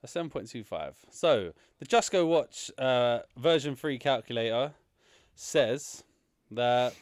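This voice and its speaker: A man hosting a casual film review podcast, teasing his co-hosts and talking over them in an animated way. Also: mid-range voice, slow, no echo. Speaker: podcast host.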